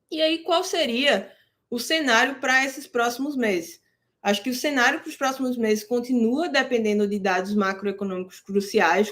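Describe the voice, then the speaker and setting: A woman host talking through crypto and interest-rate news in a relaxed, conversational way, explaining jargon plainly and often checking in with her listeners. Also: on the high side. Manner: explains terms plainly for beginners. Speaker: crypto market commentator. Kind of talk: casual market analysis to camera.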